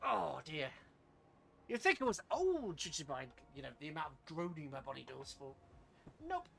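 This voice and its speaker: A man's voice: fairly high pitch, big pitch swings, normal speed, gravelly, a bit bright, a little hiss or hum. A British man casually commentating a strategy game on a livestream, chatting with viewers and muttering rambling asides to himself as he plays.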